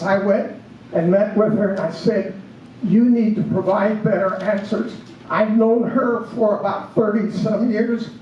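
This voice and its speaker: An older man with a high voice addresses a community meeting in slow, measured, earnest phrases. He is picked up from far off in a large meeting room, and the sound rings with heavy echo. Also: a little mellow. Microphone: room mic from a distance. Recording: echoey room, distant mic, faint hiss.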